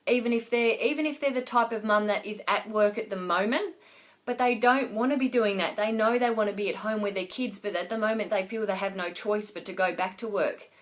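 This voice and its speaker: A woman's voice: medium pitch, a little fast. Rambling woman with a fading voice. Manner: rambling, many fillers and restarts. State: losing her voice with a cough. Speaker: online business coach. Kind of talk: casual Facebook Live talk to viewers.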